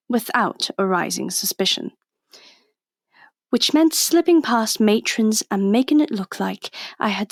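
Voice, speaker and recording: A woman's voice: medium pitch, no room sound, clean studio sound. An actress playing a wry young undercover policewoman, confiding in the listener in an audio drama. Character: young woman undercover police agent, wry, posing as nurse. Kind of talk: first-person audio drama narration and dialogue.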